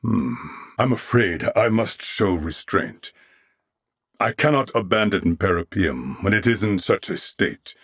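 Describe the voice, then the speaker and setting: A man playing a courteous, dignified, loyal warrior in a video game, with formal, measured and humble diction. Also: on the low side, lively intonation, fairly bright tone, dry recording, studio quality, exaggerated voice. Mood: subdued, regretful. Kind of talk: scripted video game character acting.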